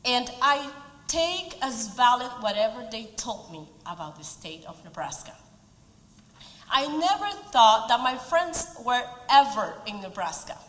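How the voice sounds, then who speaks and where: A woman's voice: on the high side, sing-song, measured pace, fairly bright tone. Peruvian woman speaking in echoing hall. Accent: Peruvian Spanish. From Peru. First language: Spanish. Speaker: speaker at a community event. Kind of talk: prepared speech. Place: event hall. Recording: roomy hall reverb.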